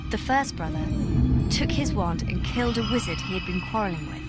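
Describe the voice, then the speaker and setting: Earnest young witch over loud music. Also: fairly high pitch, big pitch swings, fairly quick. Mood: storytelling. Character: earnest, studious young witch, thoughtful and determined. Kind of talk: video game dialogue and storytelling.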